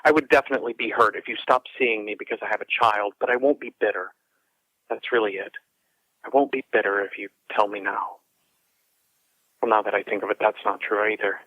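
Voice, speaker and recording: A man's voice: fairly low pitch, steady tone, brisk, dry recording, highs cut off. An actor playing an anxious, rambling single father, hesitant and earnest as he corrects himself on a telephone voicemail in an audio drama. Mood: hurt, earnest.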